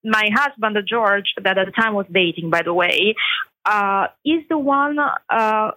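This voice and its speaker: A woman's voice: medium pitch, a little slow, pushed and bright, no echo, rough recording. Impassioned Italian-accented woman. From Italy. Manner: impassioned, emphatic. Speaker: guest. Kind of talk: interview answers.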